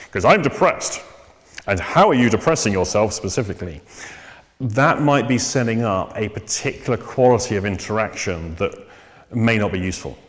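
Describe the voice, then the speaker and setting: A man with a fairly low voice gives an informal lecture on therapy technique. He talks thoughtfully, pausing on fillers and restarting phrases. His voice carries a long reverb from a large room. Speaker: therapy trainer.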